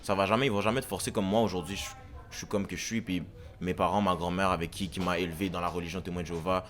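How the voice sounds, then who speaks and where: Fast-talking deep Québécois man. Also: steady tone, a bit bright. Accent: Quebec French. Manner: earnest, repeats his point, mixes in English. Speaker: guest. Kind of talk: casual chat.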